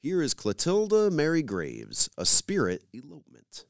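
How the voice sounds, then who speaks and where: A man's voice: a little high, huge pitch swings, moderate pace, gravelly, a little mellow, no echo, studio quality. A man hosting a radio program, reading scripted introductions and credits as a presenter.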